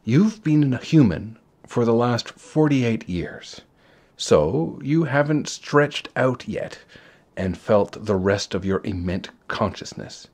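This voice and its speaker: A man's voice: mid-range voice, lively intonation, slow pace, darkish tone. A man voicing a casual, kindly God in a storybook, offhand and reassuring, with a matter-of-fact manner. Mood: kindly, explaining. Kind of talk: storybook character voice.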